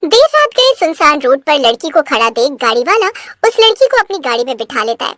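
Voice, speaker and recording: A woman's voice: high voice, sing-song, brisk, a bit bright, no room sound. A woman reading scripted narration for a cartoon story.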